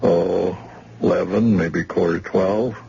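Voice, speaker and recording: An actor playing a wounded working-class plumber lying in a hospital bed, speaking weakly and hesitantly in old-time radio drama style. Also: male voice, low voice, fairly even intonation, slow pace, mellow, heavily acted. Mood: weary. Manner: weak, hesitant, gravelly. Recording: dull lo-fi old radio recording.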